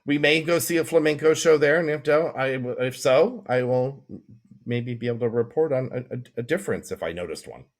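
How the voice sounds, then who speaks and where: A middle-aged man co-hosts a conversational podcast. His voice sits at an ordinary male pitch. He talks in a chatty, joking way, sharing anecdotes as he goes. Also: fairly quick. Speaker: podcast co-host. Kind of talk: casual conversational podcast chat.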